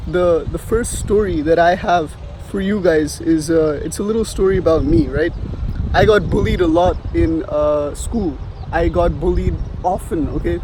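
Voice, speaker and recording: Young indian-accented guy rambling with lots of ums and swearing, high mellow voice, street sounds behind him. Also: slight background noise, poor audio. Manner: rambling, many fillers and restarts, swears casually. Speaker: vlogger. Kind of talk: casual advice vlog. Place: outdoors on a sunny street.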